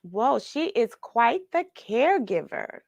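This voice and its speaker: A woman telling a children's picture story in an expressive way, pausing for chatty questions to the young listener. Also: a little high, vocal fry, dry recording. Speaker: children's read-along storyteller and host. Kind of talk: animated picture-book read-aloud with questions to young listeners.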